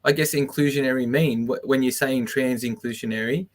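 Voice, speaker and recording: A man hosts a podcast interview at a middling pitch. He asks questions hesitantly, stopping and correcting himself as he searches for the right words. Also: steady tone, a little fast. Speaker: podcast host.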